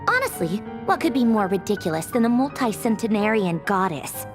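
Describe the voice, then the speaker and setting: Woman acting a haughty, sardonic villainess, animated. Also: mid-range voice, a little fast, music in the background, lightly acted. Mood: bitterly wistful. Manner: sarcastic, dismissive, theatrical. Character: haughty, sardonic young female villain officer, secretly wistful. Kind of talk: video game voice acting.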